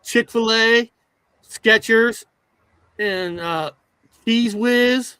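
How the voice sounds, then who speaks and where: Bright high-voiced man, rambling host. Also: extremely slow, smooth, no room sound. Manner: rambling, repeats filler words. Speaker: co-host of a paranormal talk show. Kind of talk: casual live-stream chat.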